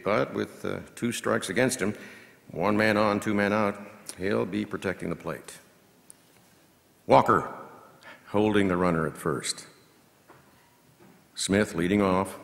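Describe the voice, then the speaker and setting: A man performing a scripted baseball play-by-play as an announcer, in measured, clipped phrases that can swell into excited calls. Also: fairly low pitch, vocal fry. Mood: measured, watchful. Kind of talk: scripted radio-style baseball commentary, read as a performance. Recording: long reverb of a large hall.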